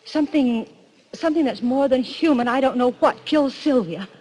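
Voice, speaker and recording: A woman's voice: high-pitched, lively intonation, moderate pace, a little mellow. An actress playing a frightened, haunted woman in breathless, stammering 1940s radio melodrama. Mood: urgent, fearful. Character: terrified young woman haunted by a killer. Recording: noisy vintage radio broadcast.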